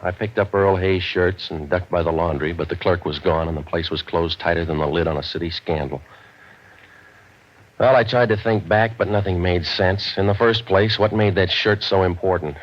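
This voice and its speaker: Casting: tough, world-weary American gumshoe, low voice, sardonic deadpan, muffled vintage radio sound. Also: male voice, normal speed. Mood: wry narration. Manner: sardonic, clipped, deadpan wisecracks. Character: cynical wisecracking male private detective, world-weary and tough. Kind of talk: 1940s radio detective drama acting, hard-boiled first-person narration.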